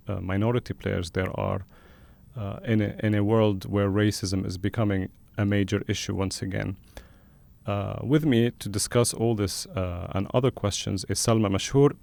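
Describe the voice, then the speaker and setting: Low-pitched man hosting, with gravelly fry. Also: darkish tone. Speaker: podcast host and interviewer. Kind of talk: conversational interview with hesitant, thoughtful phrasing.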